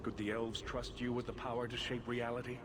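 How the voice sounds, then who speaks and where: An actor playing a stern senior male mage in a video game, challenging and deliberate. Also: mid-range voice, level tone, natural voice. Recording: ambient noise.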